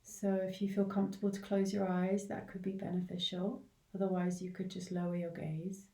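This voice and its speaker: A woman leading a guided body scan meditation in a gentle, unhurried way, leaving long pauses between short phrases. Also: lowish voice, level tone. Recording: roomy, echoing, distant from the mic.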